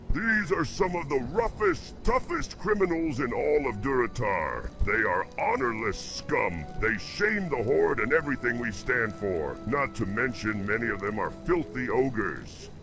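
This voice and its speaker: Casting: male actor as gruff hardened Horde fighter, strongly varied pitch, stern, music underneath, video game. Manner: stern, martial. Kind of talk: video game character acting.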